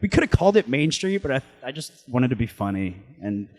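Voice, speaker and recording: A young man with a mid-pitched voice talks as a musician in an interview. His delivery is loose and rambling, sprinkled with "like", in an easy conversational style. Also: very animated, fairly quick, darkish tone. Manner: loose, rambling, heavy use of "like". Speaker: band musician being interviewed. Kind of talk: casual chat.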